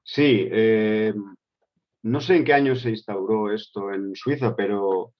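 A middle-aged man speaks in a fairly low voice, answering interview questions in a relaxed, halting way full of fillers and restarts. The recording sounds dull and lo-fi. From Switzerland. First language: Spanish with German. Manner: hesitant, many fillers and restarts.

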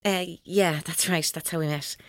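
A woman's voice: sits low, fairly even intonation, normal speed, dry recording, clean studio sound, natural voice. An actress playing a vengeful, unstable woman, long bullied, in an audio drama. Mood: guarded, polite.

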